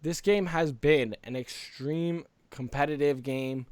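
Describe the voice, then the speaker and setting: A man's voice: fairly high pitch, slow, darkish tone, clean tone, no room sound. A man co-hosting a casual gaming podcast in an earnest, rambling way, close to the microphone. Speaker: podcast co-host.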